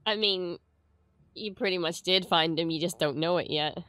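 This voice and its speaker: A young woman in her twenties with a stuffy, blocked nose, casually reacting to a show from her bedroom setup on a boom mic, excitable and prone to shrieks and swearing. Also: normal speed, no room sound. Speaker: reaction streamer. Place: bedroom streaming setup with colorful lights. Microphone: studio mic on boom arm, headphones. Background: show audio playing.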